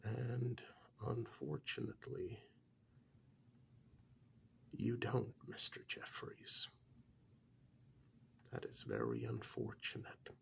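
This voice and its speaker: A man playing a calm, blunt couples therapist in a soft-spoken ASMR role-play, half-whispering with breathy, deliberate, hesitant pauses. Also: lowish voice, a little hiss or hum, exaggerated voice. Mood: frank, measured. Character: calm, blunt male couples therapist counselling married clients. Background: soft clicking of pencil tapping.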